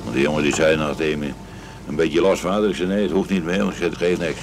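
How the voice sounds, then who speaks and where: An older man, a barge skipper, telling a story in an interview in a plain, halting way, recorded on an old documentary soundtrack. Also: extremely low pitch, fairly even intonation. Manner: plain storytelling with hesitant fillers. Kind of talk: interview recollection. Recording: noisy 1960s documentary soundtrack.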